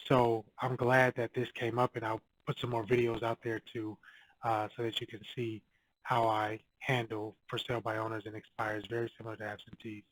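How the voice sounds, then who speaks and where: A man's voice: medium pitch, no room sound, poor audio. A man talking straight to camera, giving direct coaching advice on cold calling and repeating his key points. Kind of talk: casual advice video to camera.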